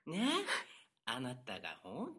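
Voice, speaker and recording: A man's voice: mid-range voice, huge pitch swings, slow pace, a bit bright, husky, voice pushed down, heavily acted. Gushing, doting mom, as a man puts her on. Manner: soothing, gushing, pep-talking. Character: doting chatty middle-aged mother. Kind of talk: improvised radio drama.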